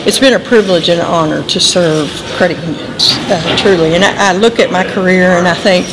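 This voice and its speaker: A woman's voice: lowish voice, a little fast. A woman in her fifties with a Texas Southern drawl, answering questions in a casual interview. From Texas, USA. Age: 50s. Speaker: interviewee, finance executive. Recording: busy crowd noise behind. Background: conference expo crowd chatter.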